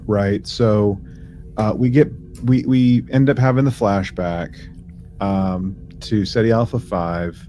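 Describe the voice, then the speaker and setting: Low-voiced man chatting on a podcast. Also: fairly even intonation, dark tone, faint music behind. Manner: halting, informal, slangy. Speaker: podcast co-host. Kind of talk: casual explanatory podcast chat.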